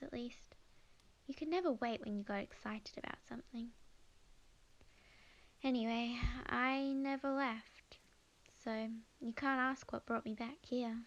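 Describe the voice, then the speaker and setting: A woman playing a young witch, sweet, flustered and chatty, in an ASMR roleplay, speaking expressively and haltingly. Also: fairly high pitch, lo-fi sound. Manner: halting, expressive, talks to herself. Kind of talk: ASMR roleplay audio drama monologue.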